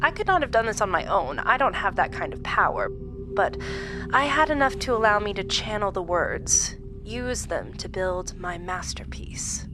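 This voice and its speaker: An actress playing a sinister supernatural woman captor in a horror audio drama, calm, theatrical and mock-polite as she gloats and schemes. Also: medium pitch, moderate pace, music in the background, natural voice. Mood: gloating, confiding.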